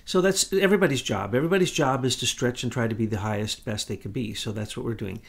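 A middle-aged man co-hosting a political commentary talk, talking fast and insistently. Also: medium pitch, creaky, breathy edge. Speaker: co-host.

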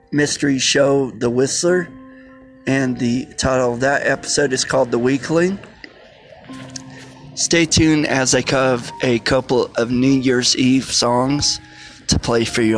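Slow, halting male podcast host. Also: medium pitch. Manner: halting, stumbling over phrases. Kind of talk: informal podcast introduction.

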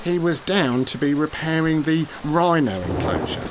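A man's voice: fairly high pitch, very animated, moderate pace, noisy background. An actor in a comedy audio drama playing a fussy, officious man with a pedantic manner.

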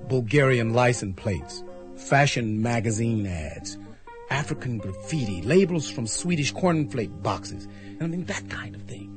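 A man's voice: on the high side, lightly acted. An actor playing a bewildered, anxious man who wryly recounts a strange adventure in a radio drama, stammering when flustered. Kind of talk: radio drama acting with first-person narration.

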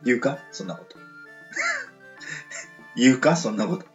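A man with a western Japanese accent hosting a casual radio chat, joking and often chiming in with quick responses. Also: mid-range voice, animated, soft background music. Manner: joking, frequent backchannel responses. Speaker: radio show host. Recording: roomy, slightly muffled recording.

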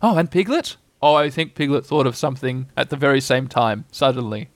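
A man's voice: mid-range voice, expressive, fairly quick, no room sound, lightly acted. A simple, mild storybook bear voiced by a man ponders aloud with hesitant, wistful phrasing. The intonation rises and falls expressively, and the sound is dry and close. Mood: hesitant, vague.

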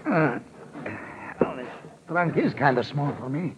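An actor playing a nervous, scheming male con man in a 1940s radio drama, speaking with a halting, theatrical delivery on a muffled old recording. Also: a little high, animated, noisy background. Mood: nervous, panicked.

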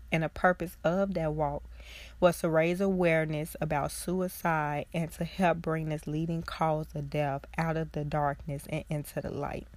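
Congested woman host, slow and low. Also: darkish tone, dry recording. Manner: earnest, heartfelt, slow and reflective. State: congested with a cold. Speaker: podcast host, social worker. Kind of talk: casual solo podcast talk.

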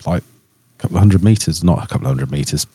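Mellow, low-voiced man rambling jokily. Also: fast, slightly rough, no echo. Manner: rambling, jokey. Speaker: podcast panelist. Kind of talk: casual chat.

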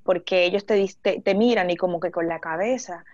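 A woman's voice: lowish voice, brisk, no room sound. A woman chatting casually, teasing and acting out quoted lines. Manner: lively, mocking, acts out quotes. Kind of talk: casual chat. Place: living in Spain. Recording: dull lo-fi dry sound.

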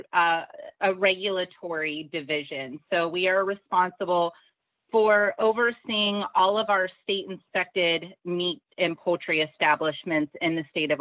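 A woman speaks as an interview guest through thin, phone-like audio. Her voice sits a bit low, and she explains in a formal, measured manner. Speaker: government official, podcast guest.

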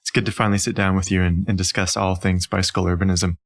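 A man's voice: deep voice, flat delivery, fast. A man with a Canadian accent answering as a podcast guest in an articulate, conversational, explanatory way. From Ontario, Canada. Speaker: interview guest, urban planning professional.

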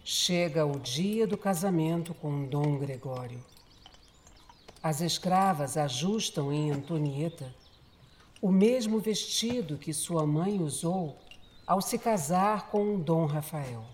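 Slow, deep-voiced Brazilian woman narrating. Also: lively intonation, a little mellow, a little hiss or hum. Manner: solemn, measured phrasing. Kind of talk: dramatic scripted narration of a radio soap. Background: music.

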